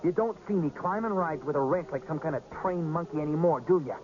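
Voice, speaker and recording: An actor playing an obsessive, defensive carnival worker in a radio drama, with a curt, cocky manner. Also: male voice, fairly high pitch, fast talker, darkish tone, close to an everyday voice. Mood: defensive, scornful. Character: obsessive, defensive carnival ride worker turned brooding mystic. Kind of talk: 1980s radio drama acting. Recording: muffled, noisy old radio broadcast.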